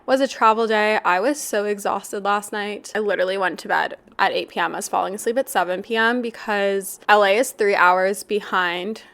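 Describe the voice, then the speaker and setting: A Canadian woman in her twenties hosting a casual solo podcast, her voice raspy and a bit nasal from a flu cough, chatty and candid with casual swearing. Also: mid-range voice, steady tone, moderate pace, hardly any pauses, a bit bright. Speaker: podcast host.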